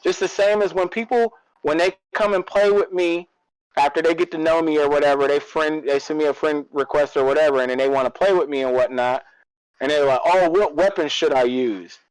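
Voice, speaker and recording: A man's voice: a little high, fairly even intonation, fairly quick, clean tone, dry recording, rough recording. A man co-hosting a podcast in casual, opinionated talk, repeating phrases for emphasis. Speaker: podcast co-host.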